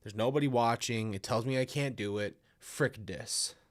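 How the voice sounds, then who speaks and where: A man's voice: medium pitch, normal speed. A man hosting a casual livestream, a little nasal from a stuffy nose, rambling and apologetic as he talks to his viewers. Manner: rambling, apologetic, restarts often. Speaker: livestream host reading viewer stories. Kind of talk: casual livestream chat and story reading.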